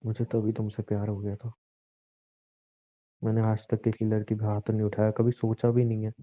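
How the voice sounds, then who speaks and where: A man's voice: on the low side, monotone, normal speed, natural voice. Man playing a brooding husband, tinny phone sound. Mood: bitter, hurt. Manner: repetitive, brooding, pleading and threatening. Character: distraught, menacing husband, bitter and self-pitying. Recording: muffled phone-like narrowband audio.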